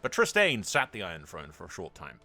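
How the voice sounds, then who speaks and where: A man doing casual, sweary video game commentary, switching between excitable reactions, explanations and improvised story narration. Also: sits low, fairly quick. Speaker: video game streamer narrating a role-play playthrough.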